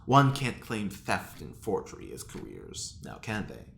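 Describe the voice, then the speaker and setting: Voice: low voice, huge pitch swings, unhurried, creaky, heavily acted. A woman playing a flippant, grandiose art-forger convict with wry mock politeness in a sci-fi comedy audio drama. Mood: breezy boasting. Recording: roomy echo, faint background noise.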